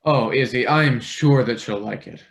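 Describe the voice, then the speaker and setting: A man's voice: medium pitch, moderate pace, natural voice. A man playing an eager, hesitant young male intern with dry wit in a stage play table read. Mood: chatty, bantering.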